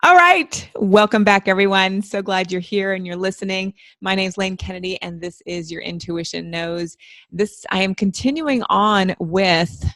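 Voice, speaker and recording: A woman's voice: mid-range voice, no echo. A woman hosting a podcast, chatting casually and rambling a little as she introduces her guest. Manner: chatty, rambling, self-deprecating. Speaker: podcast host.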